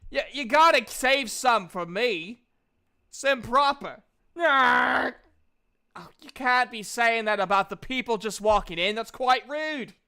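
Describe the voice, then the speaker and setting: Game master doing a loud chummy beer guy for a tabletop rpg, pitched way up, bright and brash. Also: lively intonation, unhurried, clean tone. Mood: boisterous, chummy. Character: boisterous, chummy human drinker with a pet kangaroo.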